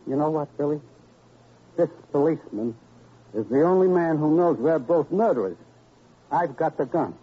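Husky wisecracking crook, old radio drama. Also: male voice, fairly high pitch, expressive, normal speed, pauses often. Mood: coldly persuasive. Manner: wisecracking, slangy tough talk. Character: cornered, bargaining male murderer with a gun. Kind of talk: 1950s radio crime drama acting. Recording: dull, muffled old radio recording.

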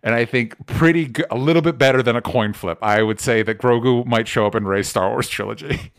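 A man co-hosting a podcast in casual film discussion, opinionated and given to swearing, with a halting delivery. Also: mid-range voice, clear, resonant tone. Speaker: podcast co-host.

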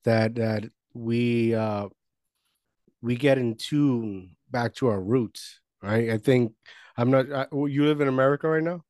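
A man raised in Puerto Rico hosts the interview with a warm, mellow voice. The sound is dry and close, and he speaks with eager enthusiasm, stopping and restarting his sentences. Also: mid-range voice, unhurried, dry recording. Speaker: host, interviewer. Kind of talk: casual interview chat.

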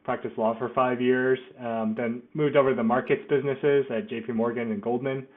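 A man's voice: medium pitch, fairly even intonation, fairly quick, phone-quality sound. A middle-aged man speaking as an interview guest, self-deprecating and often restarting his sentences. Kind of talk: casual interview.

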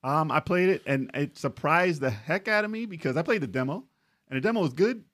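A man's voice: a little high, expressive, a little fast, a bit bright, no room sound, studio quality. A man co-hosting a gaming podcast, chatting casually with friends. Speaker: podcast co-host.

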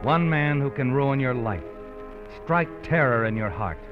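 An actor playing an ominous, knowing narrator of an old radio crime drama, dramatically addressing the hero directly. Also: male voice, medium pitch, lively intonation, unhurried, close to an everyday voice. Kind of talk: 1940s-50s radio suspense narration. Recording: muffled old radio recording with music.

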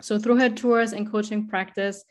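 A woman's voice: mid-range voice, fairly even intonation, moderate pace. A woman from Germany with a German accent, hosting a conversational interview as a podcast host.

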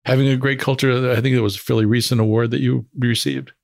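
A man's voice: mid-range voice, level tone, fast talker, vocal fry, no room sound, studio quality. A man hosting a business interview, putting questions in an informal, roundabout conversational way. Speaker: interviewer, host.

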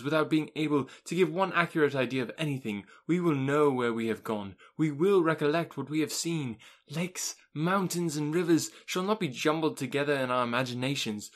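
A man voicing a witty, spirited young woman who talks with brisk irony and bursts into rapture in a storybook reading. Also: mid-range voice, a bit bright, lightly acted. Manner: brisk and ironic, turning rapturous.

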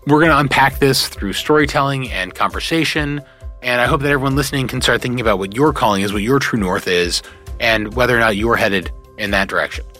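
A man's voice: mid-range voice, fast talker, pushed and bright, soft background music. A man hosting a podcast, reading an earnest, reflective introduction to the show and its guest. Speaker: podcast host.

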